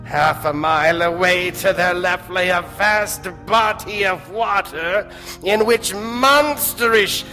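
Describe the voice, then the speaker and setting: An ominous narrator of eerie tales played by a man, very slow and rather high. He speaks theatrically in old radio-serial style, with music behind him in a reverberant hall. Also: bright, forceful tone, exaggerated voice. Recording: echoey stage hall reverb.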